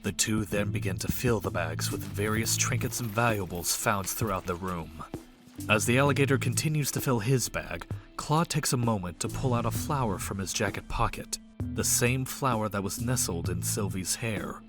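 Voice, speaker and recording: Male narrator, slightly deep, scripted audio drama storytelling over a music bed. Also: normal speed. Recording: music under the voice.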